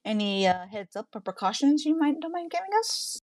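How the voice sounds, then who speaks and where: A woman's voice: high-pitched, very animated, a little fast, a little mellow, no room sound, studio quality, pitched above the performer's own voice. A woman voicing a playful, restless woman adventurer with a teasing manner. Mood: inquiring.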